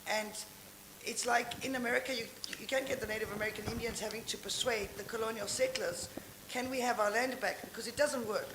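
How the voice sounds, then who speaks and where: A woman in the audience making a comment, loud and emphatic, with self-deprecating asides. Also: on the high side, steady tone, a little fast. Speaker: audience member. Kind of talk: comment from the floor. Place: conference hall. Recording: echoey hall with audible noise.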